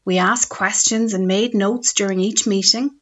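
A woman's voice: medium pitch, steady tone, moderate pace, dull audio. An Irish woman reading her own humorous radio column in a wry, self-mocking storytelling manner. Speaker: radio essayist.

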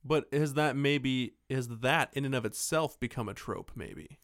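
A man's voice: medium pitch, unhurried, a bit bright, no room sound. Man chatting casually, close dry recording. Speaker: podcast co-host. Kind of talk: casual movie chat.

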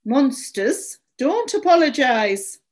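A woman voicing a distraught, indignant story character, shrill, accusatory and pleading over her lost dog. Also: big pitch swings, takes it slowly, exaggerated voice. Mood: angry, accusatory.

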